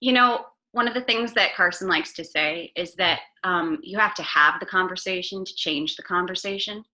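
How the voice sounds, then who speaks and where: Bright-voiced woman, earnest and full of "ums". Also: lowish voice, moderate pace, rough recording. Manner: earnest, many "um" fillers and restarts. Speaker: interview guest, arts advocate and actor. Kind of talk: casual interview chat.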